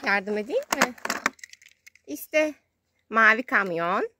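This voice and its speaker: A woman's voice: fairly high pitch, expressive, takes it slowly, very noisy. A woman narrating play for a small child in short, simple, sing-song exclamations, recorded outdoors. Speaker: children's video host playing with a child. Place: outdoors on grass in a garden.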